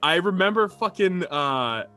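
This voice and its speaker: A young male podcast co-host in casual chat with friends. His voice is fairly high for a man and bright, with halting, repetitive phrasing. Faint music sits behind him. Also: animated. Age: young adult. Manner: informal, halting, repeats words.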